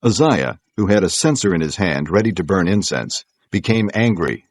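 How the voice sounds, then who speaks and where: A man with a deep, husky voice narrates scripture as solemn, measured storytelling. His intonation is richly varied. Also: big pitch swings, no echo.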